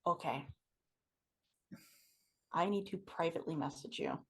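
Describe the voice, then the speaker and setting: A woman's voice: deep voice, a little slow, breathy edge. A woman running a tabletop game online as game master, narrating and chatting with players over a voice call. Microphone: online voice call from own mic.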